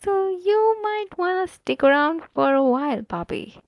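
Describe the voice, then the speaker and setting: A woman's voice: very high-pitched, lively intonation, measured pace, muffled recording, a little hiss or hum. A woman hosting a casual solo podcast, chatting animatedly about a book with frequent fillers and asides. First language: not Korean. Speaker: podcast host.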